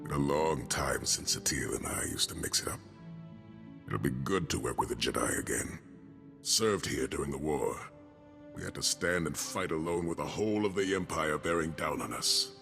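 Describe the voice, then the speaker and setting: A stern, seasoned army colonel for a video game. The voice sits very low and speaks in clipped, authoritative orders. Music plays clearly behind it. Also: male voice, moderate pace. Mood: grave, commanding. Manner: clipped, authoritative military delivery. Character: gruff seasoned male army colonel, stern strategic advisor.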